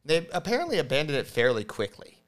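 Halting, conversational man podcast host. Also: on the high side, huge pitch swings, a bit bright. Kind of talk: casual conversational podcast explanation.

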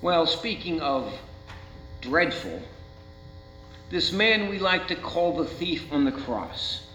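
An American man preaching a sermon as a pastor, speaking in rhetorical questions with deliberate dramatic emphasis. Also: fairly high pitch, animated, pushed and bright. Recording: roomy, muffled, with faint mains hum.

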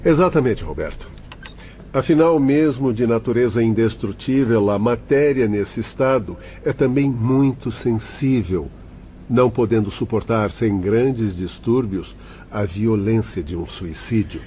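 An actor playing a kindly old male doctor in a radio drama, measured and formal, with a Brazilian accent. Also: medium pitch, expressive, dark tone, slight background noise, lightly acted. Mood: instructive. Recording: dull lo-fi radio drama, faint music.

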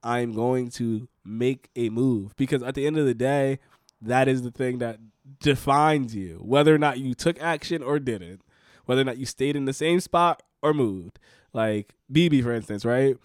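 A man's voice: medium pitch, moderate pace, dry recording, clean studio sound. A man in his late twenties talking casually as a podcast co-host, pressing his points with earnest, repeated emphasis. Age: 20s. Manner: earnest, repetitive emphasis, coaching tone. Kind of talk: casual conversation.

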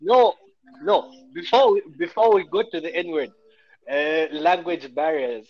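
A man's voice: on the high side, animated, unhurried, muffled recording. A man co-hosting a podcast among friends, cutting in to steer the talk and move topics on. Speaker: podcast co-host. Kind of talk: casual chat among friends.

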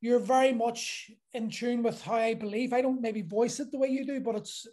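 A man's voice: very high-pitched, steady tone, moderate pace, darkish tone. A man hosting a podcast interview, talking casually and often circling back to restart his thoughts. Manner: rambling, restarts thoughts and piles up clauses. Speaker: podcast host.

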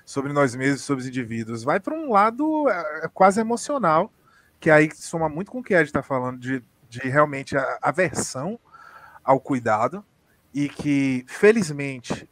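Animated Bahian man with a higher voice. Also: moderate pace, dry recording. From Salvador, Brazil. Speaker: panelist in a group discussion. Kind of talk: casual opinionated discussion.

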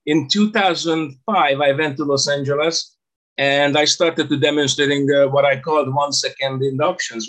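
An older man from Hungary with a Hungarian accent, telling stories casually as an interview guest in halting, non-native English phrasing. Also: on the high side, a bit bright, low-quality recording. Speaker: interview guest, stage hypnotist. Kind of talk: casual storytelling about own career.

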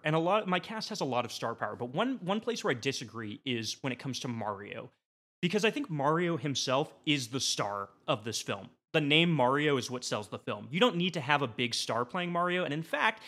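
A man speaking as a podcast guest, making a rambling, mock-serious comedic pitch in a casual panel debate. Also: bright, forceful tone.

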